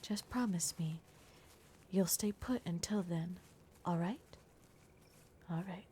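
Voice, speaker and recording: A woman's voice: fairly low pitch, slow, dark tone, a little hiss or hum. A woman voicing a blunt, protective young werewolf girl in an ASMR roleplay, often dropping into a whisper. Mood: protective, soft. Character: gruff, protective young werewolf girl, exasperated but caring.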